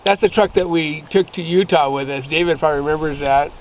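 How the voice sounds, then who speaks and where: A middle-aged American man reminiscing casually, telling anecdotes in a chatty, informal way. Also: fast talker. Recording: dull lo-fi recording with clear rumbling noise.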